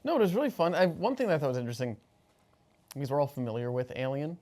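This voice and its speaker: Fast-talking man in casual chat. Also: fairly high pitch, very animated, darkish tone. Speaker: first-time tabletop game player. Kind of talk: casual post-game chat.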